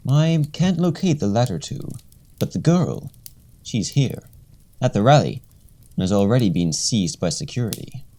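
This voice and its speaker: An actor playing a cool, all-seeing villain strategist in a radio play, speaking in formal, measured phrases. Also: medium pitch, sing-song, warm tone. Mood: solemn, scheming.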